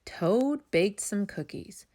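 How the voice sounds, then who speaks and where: A woman storyteller reading a children's book aloud in a deliberate, expressive narrating style. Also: medium pitch.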